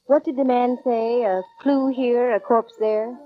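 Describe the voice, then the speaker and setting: A woman's voice: on the high side, fairly even intonation, a little slow, over loud music, lightly acted. A woman playing a wry, flippant, breezy private eye heroine in 1940s radio drama style. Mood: wry storytelling. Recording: dull vintage 1940s radio recording.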